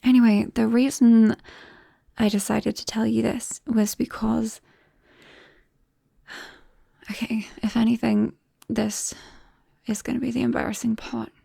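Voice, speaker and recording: Soft, slow British woman as a shy young vampire. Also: on the high side, vocal fry, breathy edge, no echo, studio quality, full character voice. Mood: embarrassed, hesitant. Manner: soft-spoken, hesitant, often dropping into whispers. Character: shy, embarrassed young female vampire confiding in best friend. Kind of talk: ASMR roleplay, intimate and conversational. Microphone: close microphone.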